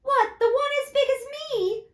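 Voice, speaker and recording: Woman as a perky, high-pitched cheeky street boy. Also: normal speed, roomy sound. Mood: perky, incredulous. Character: cheeky young street boy, eager and incredulous.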